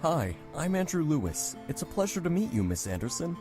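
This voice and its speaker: A woman puts on a young gentleman from a visual novel, with lively, swinging intonation. He is courteous and eager, a little hesitant and nervous, over prominent background music. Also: deep voice, fairly quick, pitched below the performer's own voice. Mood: polite, eager. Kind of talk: visual novel character reading.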